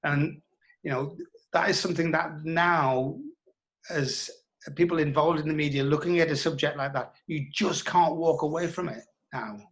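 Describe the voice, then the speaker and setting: A man talking reflectively in an interview, thoughtful and halting, repeating words for emphasis. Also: fairly high pitch, fairly bright tone, poor audio. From North East England. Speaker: interview guest, writer.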